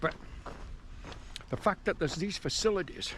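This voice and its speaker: A middle-aged man, born in England softened by speech, chatting casually to camera as a hiking vlogger in a resort cafeteria. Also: sits high, animated, unhurried, in a whisper. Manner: chatty, rambling, with chuckles and restarts. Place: mountain resort cafeteria, indoors.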